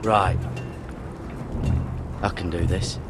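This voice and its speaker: Low, slow-spoken defiant young recruit. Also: male voice, steady tone, loud music behind the voice. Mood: determined. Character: stubborn, defiant young male recruit, brooding. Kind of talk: video game voice acting.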